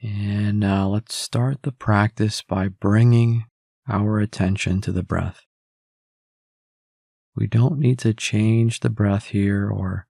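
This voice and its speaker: A man leading a guided meditation in a calm, measured, instructional way. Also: on the low side, gravelly, breathy edge, no echo, studio quality.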